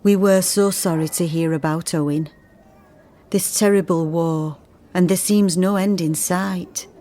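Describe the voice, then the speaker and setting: A woman's voice: on the low side, fairly even intonation, unhurried, dark tone, close to an everyday voice. An actress playing a long-suffering working-class wife and mother of the thirties and forties, confiding in letters with weary plainness. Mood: troubled, stoic. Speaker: actor in radio drama. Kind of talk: dramatised letter reading.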